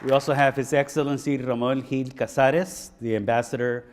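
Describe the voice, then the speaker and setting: A man hosting an event, making formal introductions with halting speech full of uhs and restarts. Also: medium pitch, steady tone, slight background noise. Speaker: host of the event. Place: large conference hall. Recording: echoey hall with long reverb.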